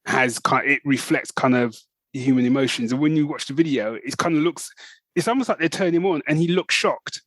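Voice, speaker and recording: A man's voice: medium pitch, a little fast, a bit bright, no room sound. A British man co-hosting a podcast in casual chat, talking loosely and laughing often. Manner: chatty, laughing, lots of "you know what I mean". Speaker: podcast co-host.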